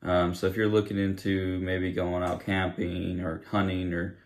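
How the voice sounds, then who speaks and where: A man's voice: low voice, level tone, normal speed. A young adult man giving a casual, unscripted product review in a plain-spoken, explanatory way, recorded indoors in a living room.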